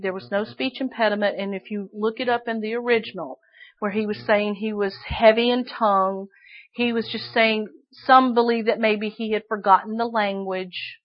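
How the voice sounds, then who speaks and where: A woman preaching a sermon with a shrill voice, emphatic and repeating phrases for stress. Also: muffled recording.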